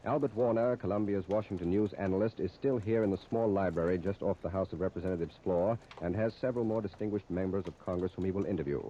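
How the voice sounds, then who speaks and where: Deep, very dark-voiced 1930s radio announcer man. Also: fast, few pauses. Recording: muffled, noisy 1939 radio disc recording.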